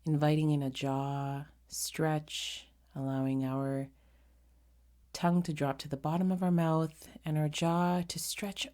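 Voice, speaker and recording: A woman with a low, mellow voice guides a meditation. She speaks very slowly and gently, leaving frequent pauses between short phrases. The delivery is soft-edged and unhurried.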